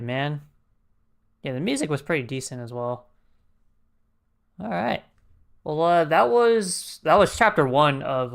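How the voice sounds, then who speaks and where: A man's voice: mid-range voice, creaky, a bit bright, clean tone. A man livestreaming a game with casual, joking commentary, reacting off the cuff. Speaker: streamer. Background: game music.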